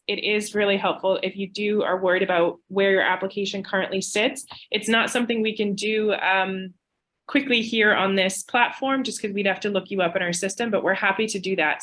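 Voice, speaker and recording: A woman's voice: medium pitch, fairly even intonation, fairly quick, fairly bright tone. A woman admissions officer losing her voice, giving webinar advice in a chatty, informal way. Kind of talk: webinar presentation. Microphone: video call.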